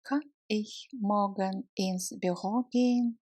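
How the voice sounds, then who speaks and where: A woman narrating a language lesson, reading short scripted phrases deliberately and separating the words for learners. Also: mid-range voice, steady tone, darkish tone, no echo.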